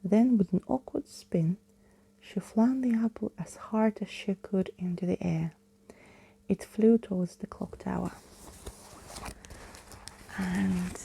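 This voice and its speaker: A woman storyteller reads in ASMR style, unhurried and close to the mic. Her voice is very dark and soft with a creaky, breathy grain, often sinking into a whisper. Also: on the low side. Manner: hushed, often slipping into whisper. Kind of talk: ASMR bedtime story reading.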